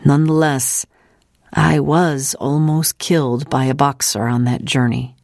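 A steadfast, composed missionary woman, as an audiobook narrator reads her, recounts hardship gravely and deliberately. Her voice is mid-pitched, recorded close and dry. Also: fairly even intonation, no echo, lightly acted. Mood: grave, recollecting.

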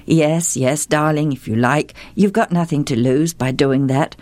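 A woman voicing a weathered older chieftain in plain, steady speech. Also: low-pitched, a little slow, no echo. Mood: matter-of-fact. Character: weathered older male warrior chieftain, gruff.

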